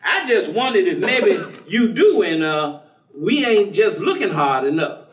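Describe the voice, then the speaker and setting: Actor as high-pitched folksy ex-convict, echoey stage. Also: male voice, big pitch swings, a little slow. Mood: curious, easygoing. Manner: drawling, chuckling, colloquial, coaxing. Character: folksy, streetwise ex-convict host, persistent and teasing. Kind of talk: staged play reading before an audience. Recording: echoey stage room, distant microphone.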